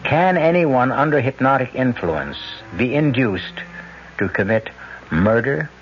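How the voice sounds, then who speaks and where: A man's voice: medium pitch, expressive. Dramatic, swooping male suspense narrator. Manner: dramatic, ominous, deliberate phrasing. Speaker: radio drama host and narrator. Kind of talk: 1970s radio suspense narration. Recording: muffled vintage radio, music underneath.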